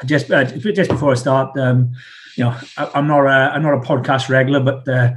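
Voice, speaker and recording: A man's voice: medium pitch, steady tone, a little fast, slight background noise. A man with a South Welsh accent and a slight cough, talking over a video call as an interview guest, hesitant and full of restarts. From South Wales. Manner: hesitant, many restarts and fillers. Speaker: guest, ex-Royal Marine.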